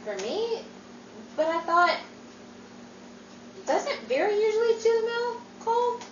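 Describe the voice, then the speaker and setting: A woman acting as a shy, grieving young woman who talks in halting, stammering phrases, heard from far off on an echoey stage. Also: very high-pitched, lively intonation, dull audio, a little hiss or hum, exaggerated voice. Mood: withdrawn. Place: theater stage.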